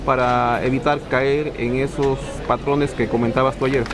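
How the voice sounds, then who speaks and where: A man's voice: mid-range voice, flat delivery, normal speed, music in the background. A man hosting an interview, asking long questions in a hesitant way with many fillers. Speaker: interviewer, host. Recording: crowd chatter behind.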